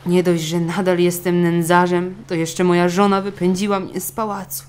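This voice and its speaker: A woman's voice: lowish voice, little pitch movement, moderate pace, a little mellow, smooth, lightly acted. Meek, plaintive poor fisherman voiced by a woman. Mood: tearful. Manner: timid, pleading, plaintive. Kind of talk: children's storybook acting.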